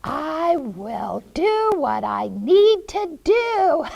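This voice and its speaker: A wicked old storybook witch, acted out by a woman, very high and swooping in pitch. She coaxes sweetly with false kindness, then gloats in a theatrical, cackling way. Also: gravelly, a little mellow, exaggerated voice. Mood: gloating.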